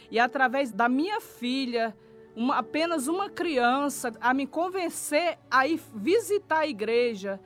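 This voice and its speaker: Woman, middle-aged, São Paulo state accent, high-pitched. Earnest church testimony to listeners, music underneath. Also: fairly bright tone. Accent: Brazilian, São Paulo state. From São Paulo state, Brazil. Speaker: church member giving testimony. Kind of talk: personal religious testimony addressed to listeners.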